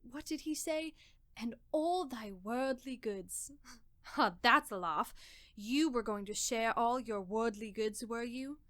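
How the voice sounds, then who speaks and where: Lady doing a fed up sarcastic wife, nagging, voice a bit high, audio drama, no room sound. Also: takes it slowly, fairly bright tone, no echo, clean studio sound. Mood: bitter, scornful. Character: fed-up, bitter wife of a poor man.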